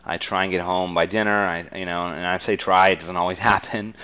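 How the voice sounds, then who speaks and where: An American man giving conversational interview answers as a business guest, earnest and halting, often saying "you know.". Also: deep voice, steady tone, pushed and bright, clean tone, rough recording.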